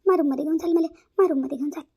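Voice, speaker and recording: A woman's voice: high-pitched, very fast, creaky, mellow. A woman voicing a melodramatic, self-pitying older mother-in-law in a cartoon family drama, pleading and repeating her complaints in a wail. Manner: wailing, pleading, repetitive.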